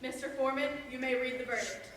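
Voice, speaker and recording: In a long, echoing hall and at a distance, a woman plays a period courtroom judge in a stage drama. Her high voice is solemn, formal and full of official authority. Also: quick pace, a bit bright. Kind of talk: stage play acting. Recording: echoey hall, distant mic, faint noise.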